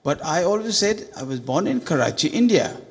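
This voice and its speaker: An elderly man in his eighties stands in a large conference hall and speaks into a handheld mic. His voice sits high for a man, gravelly and lively in pitch. He presses his question earnestly and climbs higher on the points he stresses. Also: a little fast. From Sindh, Pakistan. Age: 80s. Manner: earnest, emphatic, voice rising on key points. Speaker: audience member asking a question. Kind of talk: public Q&A question. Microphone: handheld microphone.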